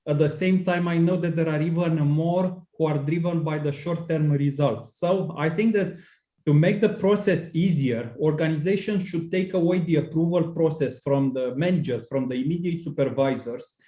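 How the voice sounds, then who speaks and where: Male expert, mellow and fairly high voice, deliberate, echoey room. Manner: deliberate, explanatory, with fillers. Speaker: subject expert guest. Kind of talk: panel discussion.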